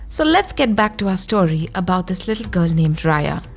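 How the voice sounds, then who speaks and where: A woman narrating a scripted bedtime story for young children, guiding them in a gentle, soothing manner. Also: lowish voice, very animated, soft background music. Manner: slow, soothing guidance addressed to young children. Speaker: children's storyteller and yoga guide.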